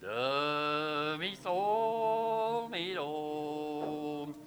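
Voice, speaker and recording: An older man with a slightly raised pitch speaks in an easy, homespun manner as a church choir director. His talk is very slow and unhurried, and music is clearly present around him. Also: animated, a bit bright. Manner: homespun, plain-spoken, unhurried. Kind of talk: folksy chat between hymns.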